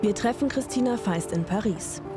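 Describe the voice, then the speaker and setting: A woman giving scripted documentary narration in an even, sober way. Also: medium pitch, moderate pace. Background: music.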